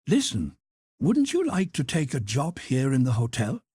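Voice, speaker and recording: Storybook role: a pressing, kindly head cook of fifty, voiced by a man, husky, high and animated. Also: moderate pace, no echo, clean studio sound. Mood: coaxing. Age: 50s. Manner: effusive, pressing, motherly. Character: eager fifty-year-old woman, a hotel head cook.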